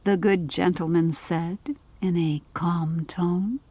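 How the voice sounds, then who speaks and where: A woman narrating a scripted audiobook story in a measured, deliberate, literary manner. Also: fairly low pitch, fairly even intonation, mellow, breathy edge, dry recording.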